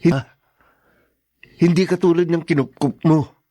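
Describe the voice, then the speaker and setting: A man's voice: fairly high pitch, steady tone, measured pace, dark tone, slightly rough, dry recording, studio quality. An actor playing an older brother in a radio drama, lecturing his sister with reproach. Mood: reproachful.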